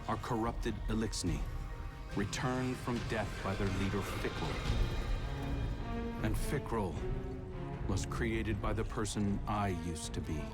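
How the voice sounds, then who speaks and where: Man voicing a brooding warrior leader, lowish voice, quiet and measured, game cutscene with loud music behind. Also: lightly acted. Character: earnest young male warrior leader, haunted past. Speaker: new Hunter Vanguard. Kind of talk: cutscene narration over music.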